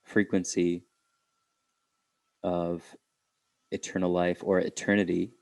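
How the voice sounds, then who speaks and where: A man leading an unscripted guided meditation, speaking reflectively in halting phrases with pauses. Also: low-pitched, little pitch movement, creaky, darkish tone, no echo.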